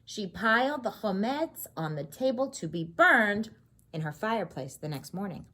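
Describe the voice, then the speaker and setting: A woman with a husky voice reading a children's story aloud expressively, pausing to explain and ask questions. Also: medium pitch. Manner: expressive, explains and asks questions to young listeners. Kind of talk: animated read-aloud of a children's story with asides.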